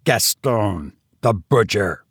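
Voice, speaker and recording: A man's voice: lowish voice, expressive, slow, husky, no echo, studio quality, full character voice. A man voicing an insane, murderous tavern host who escaped from prison, roaring and laughing madly. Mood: gloating. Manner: roaring, mad mirthless laughter.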